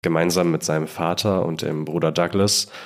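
A man's voice: deep voice, fairly even intonation, normal speed. A man co-hosts a true-crime podcast, narrating from a script in a conversational storytelling manner. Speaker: podcast co-host.

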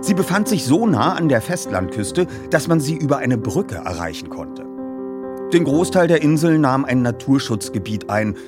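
A man hosting a feature and reading a story aloud as an expressive narrator. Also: mid-range voice, moderate pace, over music. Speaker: host and narrator. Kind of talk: podcast introduction and audiobook reading.

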